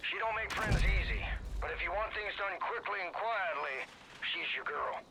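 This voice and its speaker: An actor playing a protective, plain-spoken uncle in a video game drama, talking in a folksy manner. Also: male voice, high voice, moderate pace, gravelly. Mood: protective concern. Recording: noisy, gunfire and radio behind.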